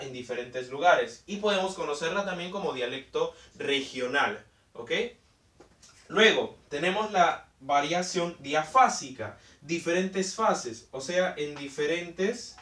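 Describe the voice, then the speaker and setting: A man's voice: on the high side, a bit bright, far from the mic. A young man teaching a whiteboard lesson, explaining step by step, repeating key terms and often checking in with "ok?". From Peru. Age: young adult. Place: indoor room in front of a large whiteboard. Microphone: camera mic from a distance. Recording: roomy echo, voice from off-mic.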